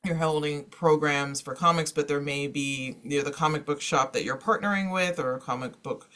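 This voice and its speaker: Guy on an online panel, kinda high voice, casual talk like he's just chatting. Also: normal speed, bright, forceful tone. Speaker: panelist and former teacher. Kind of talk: informal webinar presentation.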